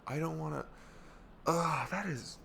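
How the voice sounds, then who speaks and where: A young man playing a caring, protective best friend in an intimate ASMR audio roleplay, talking in a halting, soothing, earnest way that slips into whispers. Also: a little high, vocal fry, sharp tone, slight background noise, full character voice. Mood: shocked, indignant.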